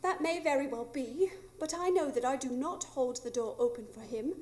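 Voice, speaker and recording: A woman plays a resolute young heroine for live radio drama. She has a very high voice and speaks in an earnest, articulate way. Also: moderate pace, darkish tone, lightly acted.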